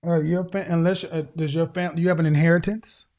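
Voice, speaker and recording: A man with a fairly high voice lays into a caller with blunt, lecturing advice, repeating his points for weight. He sounds middle-aged and talks over a video call. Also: flat delivery, a little fast, darkish tone. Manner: harsh, lecturing, repeats phrases. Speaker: host.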